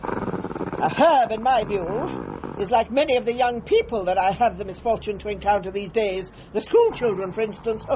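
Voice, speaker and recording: An actress playing a haughty, brisk older dog trainer in a radio comedy drama, with a British accent and an imperious, old-fashioned, patronising manner. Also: female voice, mid-range voice, dark tone, dull audio, slight background noise, close to an everyday voice. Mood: emphatic, disapproving. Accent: British English.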